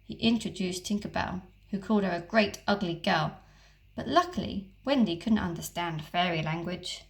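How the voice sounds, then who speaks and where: Female teacher, story-time narrator, expressive, slightly gravelly. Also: lowish voice, normal speed. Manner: expressive, gently animated storytelling. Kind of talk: reading a children's story aloud.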